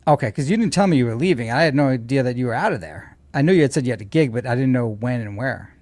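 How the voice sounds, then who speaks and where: Man, livestream co-host, mid-pitched, dry close recording, casual talk, opinionated, teasing. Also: expressive, fast talker, darkish tone, no room sound. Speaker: co-host or regular guest on a livestream. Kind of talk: casual chat.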